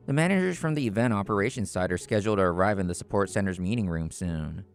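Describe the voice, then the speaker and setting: A man narrating a scripted story in the first person, in a measured, explanatory manner. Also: fairly low pitch, expressive, faint music behind. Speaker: narrator and main character of a manga video.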